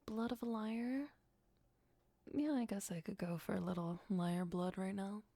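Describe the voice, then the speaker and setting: An ageless female vampire played by a woman murmurs as an obsessive, darkly playful girlfriend in an ASMR roleplay. Her voice is soft, breathy and often whispered, drawn out at an unhurried, very slow pace. She coaxes sweetly with menace underneath, very close and dry on the mic. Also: mid-range voice, no room sound. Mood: playful, musing. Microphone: close, dry microphone.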